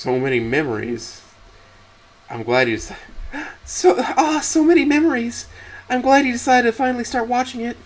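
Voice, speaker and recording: A man's voice: very high voice, sing-song, normal speed, voice pushed up, full character voice. A man voicing a bubbly, enthusiastic mother in a narrated story, with a gushing manner. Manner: gushing, bright. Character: bubbly, enthusiastic anime-loving mother and wife.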